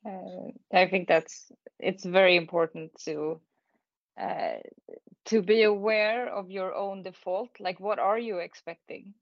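A woman with a Swedish accent giving reflective thoughts as a panel guest, speaking in measured, halting phrases. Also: medium pitch, no room sound, highs cut off. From Sweden. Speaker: panel guest, tech manager. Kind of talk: panel discussion, reflective talk.

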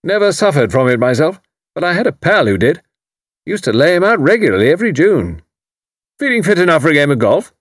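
A man voicing a hearty, blustering older British commander with an upper-class English accent and a loud, barking, clipped delivery in an audiobook. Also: a little high, very animated, a little fast, dry recording, studio quality, voice pushed up. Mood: bluff, emphatic. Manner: bluff, emphatic, clipped phrasing.

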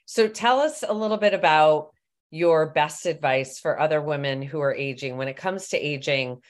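A woman in her fifties hosting a casual interview, with a very low voice for a woman. She asks her questions in an encouraging, easygoing way. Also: moderate pace. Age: 50s. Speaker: interview host.

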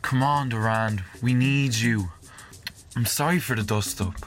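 A man's voice: mid-range voice, unhurried, soft background music, close to an everyday voice. A man playing a skeptical, blunt fantasy adventurer in an audio drama. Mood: conciliatory.

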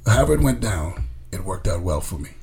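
A man's voice: sits low, sing-song, a little fast, gravelly, a little mellow. A man who grew up in the Bahamas, a coach telling stories casually in an interview, emphatic and often repeating his words. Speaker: college coach being interviewed. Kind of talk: casual conversational storytelling.

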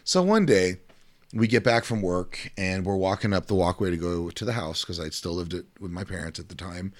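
Deep-voiced man telling dad stories. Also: moderate pace. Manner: rambling, repeats words, animated when telling stories. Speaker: podcast co-host. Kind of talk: casual chat.

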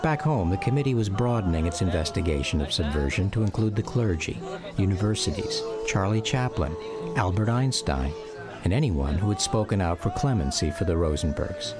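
An actor playing a grown man recalling his exiled boyhood, narrating a radio drama in a measured, reflective, wistful way. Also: deep voice, lively intonation, loud music behind the voice.

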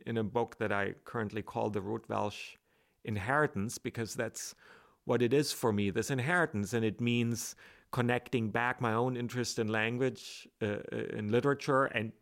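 Hesitant gravelly-voiced man with roots. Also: mid-range voice. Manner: hesitant, with many restarts and fillers. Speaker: author and guest. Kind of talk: reflective interview talk.

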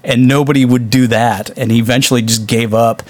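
A man hosting a casual podcast discussion of films, talking with animated exasperation and swearing freely. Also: medium pitch, fairly quick, no room sound. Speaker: podcast host.

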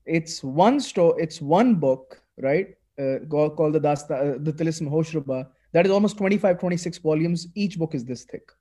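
Man, fairly high voice, talking excitedly in a casual interview. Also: moderate pace, few pauses. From Pakistan. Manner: animated, many restarts and fillers. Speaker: guest author.

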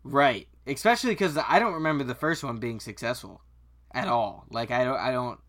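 Bright-voiced man chatting casually on a podcast. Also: medium pitch, moderate pace. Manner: opinionated, slangy, profane. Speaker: podcast co-host. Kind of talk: casual chat.

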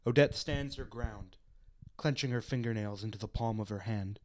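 Mid-pitched radio play narrator. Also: moderate pace, darkish tone. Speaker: narrator reading stage directions.

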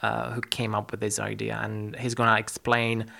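Creaky-voiced man hosting a podcast. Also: fairly low pitch, monotone, normal speed, sharp tone. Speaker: podcast host. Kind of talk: conversational podcast interview.

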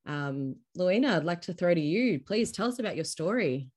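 A woman hosts a podcast interview and often breaks in with brief "yeah" and "mm" replies. Her voice sits a little low for a woman. She speaks casually. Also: lively intonation, normal speed, a little mellow. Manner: frequent short encouraging backchannels. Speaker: podcast host.

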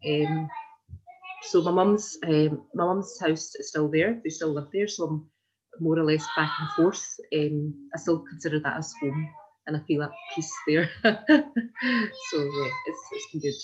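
Woman in her forties, Glaswegian accent, low pitch, hesitant and meandering, interview answers, muffled video call audio. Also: measured pace. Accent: Glaswegian Scottish. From Glasgow, Scotland. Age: 40s. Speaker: interviewee, community development worker. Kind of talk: informal oral history interview.

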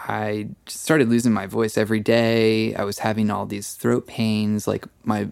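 Creaky-voiced man, halting casual chat. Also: fairly low pitch, completely flat delivery, a little mellow, no room sound. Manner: halting, full of fillers; trained voice. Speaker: podcast guest.

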